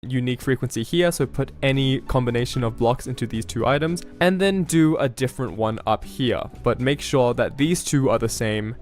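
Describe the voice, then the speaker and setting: A man's voice: mid-range voice, moderate pace, few pauses, faint music behind. A man explaining game-building steps one by one, speaking directly to the viewer. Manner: instructive, addresses the viewer directly. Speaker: tutorial narrator. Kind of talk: step-by-step game tutorial narration.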